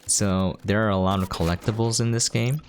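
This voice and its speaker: A man's voice: on the low side, fairly even intonation, a little fast. A man giving casual video game commentary in an informal, conversational, explanatory way. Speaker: gameplay streamer, commentator. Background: faint game music.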